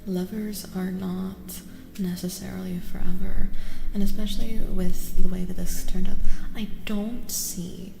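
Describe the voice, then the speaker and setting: A woman giving a soft-spoken ASMR tarot reading, hushed and gentle, often slipping into whispers and softly repeating reassurances. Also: on the low side, creaky, echoey room, in a large hall, slight background noise, rough recording.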